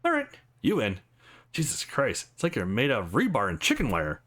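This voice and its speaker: A man's voice: medium pitch, huge pitch swings, normal speed, a bit bright. A man voicing a wisecracking, outmatched male hero from a visual novel in a wry, sarcastic, casual way. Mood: exasperated, joking.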